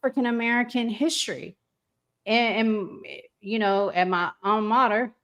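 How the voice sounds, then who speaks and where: A woman hosting a casual discussion, deliberate, pausing mid-thought and posing rhetorical questions. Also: on the high side, a bit bright, muffled recording. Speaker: talk show host.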